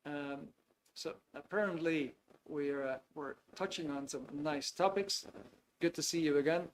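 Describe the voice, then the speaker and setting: A Dutch-accented man in his fifties, a native Dutch speaker, hosts a live webinar. His voice sits slightly higher than most men's, and he speaks in a loose, friendly way full of ums and restarts. A faint background hiss sits under his computer mic. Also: unhurried, low-quality recording. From Netherlands. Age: 50s. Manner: easygoing, chatty, frequent hesitations with ums. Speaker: webinar host. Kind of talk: live online webinar talk. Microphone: video call computer mic.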